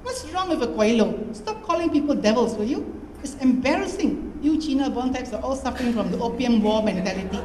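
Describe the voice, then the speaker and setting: A woman reading a story aloud, voicing an excitable, sharp-tongued middle-aged mother with a high indignant tone, in an echoey hall. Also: brisk, slight background noise, lightly acted. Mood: scolding. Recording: echoey hall with faint ambience.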